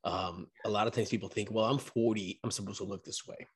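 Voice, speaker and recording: Encouraging man in his forties, low voice. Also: fairly even intonation, brisk, husky. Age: 40s. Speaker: podcast host. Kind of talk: casual interview chat.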